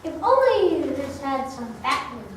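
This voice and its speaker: Lady reading as a hungry smooth-talking trickster traveler, high voice, recorded way off in a boomy room. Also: sing-song, unhurried. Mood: wistful, coaxing. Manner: reading from a script with stumbles. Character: wily hungry traveler Finnegan, polite trickster. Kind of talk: amateur reader's theater. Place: large echoey room, recorded from afar. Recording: echoey room, distant mic, background noise.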